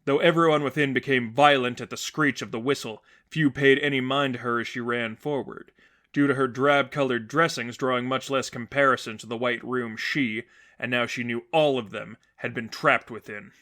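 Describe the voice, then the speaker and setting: A man's voice: mid-range voice, fairly even intonation, sharp tone. Earnest man narrating a dramatic story. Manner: measured, earnest, suspenseful. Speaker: narrator reading fan fiction.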